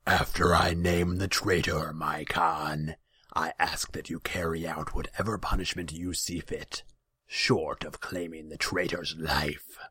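A man voicing a sly, mocking feline demon with a slow, rumbling growl and breathy whisper. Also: flat delivery, pushed and bright, dry recording, full character voice. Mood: sly, menacing. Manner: slow, mock-deferential.

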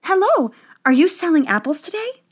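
A sweet young fairy-tale princess, acted by a woman narrator, pitched high. She speaks earnestly and politely, with some courage behind it. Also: sing-song, normal speed. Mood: friendly. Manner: bright, earnest, higher than narration.

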